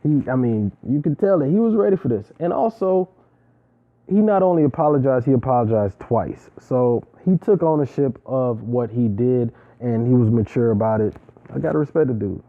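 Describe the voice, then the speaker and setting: A man's voice: medium pitch, lively intonation, normal speed. A man giving a casual, opinionated recap of a reality show, talking in an emphatic, slangy way with preachy asides. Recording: tinny phone-like sound.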